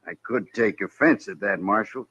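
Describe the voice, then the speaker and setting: Low-voiced, insolent hired gunman. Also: moderate pace, sharp tone, slightly rough, dry recording. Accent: American. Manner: insolent, commanding. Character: cocky, menacing hired gunman in the Old West. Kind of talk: golden age radio Western acting. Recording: muffled old radio recording.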